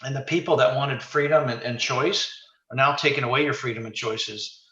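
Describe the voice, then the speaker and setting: Bright-voiced man in his sixties, echoey line. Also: medium pitch, moderate pace, dull audio. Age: 60s. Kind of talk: casual chat.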